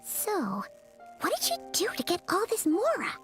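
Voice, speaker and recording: A woman voicing a tiny, chirpy childlike fairy companion in a game, chattering in a cute, expressive way. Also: very high-pitched, normal speed, soft background music, heavily acted. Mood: curious, puzzled. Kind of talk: animated game character acting.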